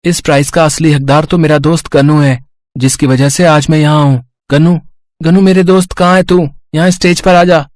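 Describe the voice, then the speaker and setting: A man plays a schoolboy in a Hindi moral tale. His voice sits a little high and sounds earnest and emotional, a wayward lad turned sincere and loyal friend. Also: fairly even intonation, moderate pace, a little mellow, no echo, close to an everyday voice. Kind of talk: Hindi moral storybook acting.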